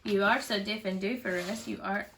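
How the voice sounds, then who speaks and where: A woman voicing excitable schoolchildren from a storybook, exclaiming and chanting. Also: mid-range voice, moderate pace, very noisy, natural voice. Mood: gleeful.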